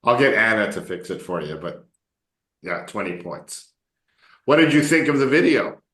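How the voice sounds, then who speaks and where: Relaxed guy coaching english on a video call, joking around, sounds a bit roomy. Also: on the low side, normal speed. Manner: relaxed, chatty, encouraging, jokes with students. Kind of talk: casual online English lesson.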